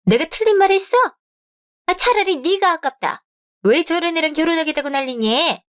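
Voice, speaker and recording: A woman's voice: very high-pitched, animated, moderate pace. A woman voicing an overbearing middle-aged mother of the groom in a radio drama, nagging and indignant. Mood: scornful.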